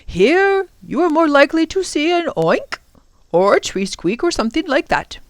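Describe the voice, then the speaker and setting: A woman's voice: high voice, very animated, normal speed, no room sound, voice pushed up. A woman voicing a kindly, fussy older male professor in a storybook, explaining things with donnish exclamations.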